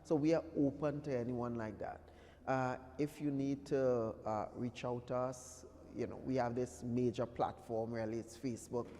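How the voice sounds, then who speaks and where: A man gives informal, halting interview answers in a dark, mellow voice of middling pitch. The hall around him adds a long reverberant tail. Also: steady tone. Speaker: interview guest. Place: large indoor event venue. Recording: reverberant hall, faint background noise.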